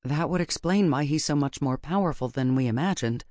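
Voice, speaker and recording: A woman's voice: deep voice, fairly quick, a little mellow, dry recording, studio quality, lightly acted. A woman voicing a sensitive, grieving female witch professor in a fantasy audiobook. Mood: troubled disbelief. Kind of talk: audiobook character dialogue.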